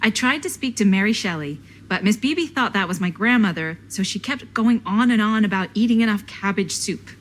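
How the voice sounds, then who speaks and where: An actress playing a cool, dry young American woman in a video game, understated and deadpan as someone in the know. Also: medium pitch, brisk, hardly any pauses, a little hiss or hum, close to an everyday voice, rough recording. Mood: matter-of-fact. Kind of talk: naturalistic video game acting.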